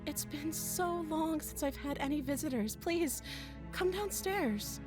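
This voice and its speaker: An actress playing a meek, gentle young captive princess in a game, timid and pleading. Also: female voice, high-pitched, lively intonation, unhurried. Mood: timid, hopeful. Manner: soft-spoken, timid, pleading. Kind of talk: visual novel character acting. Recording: clear music bed behind.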